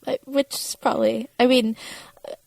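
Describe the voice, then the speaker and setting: A woman's voice: on the high side, steady tone, normal speed, gravelly, a little mellow, dry recording. A woman co-hosting a podcast in casual, conversational chat. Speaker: podcast co-host.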